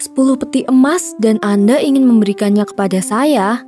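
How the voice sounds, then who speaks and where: A woman's voice: fairly high pitch, fairly quick, dark tone, soft background music, close to an everyday voice. A woman playing a gracious island princess in a children's story, speaking in a polite, regal way. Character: gracious, formal young princess ruling an island.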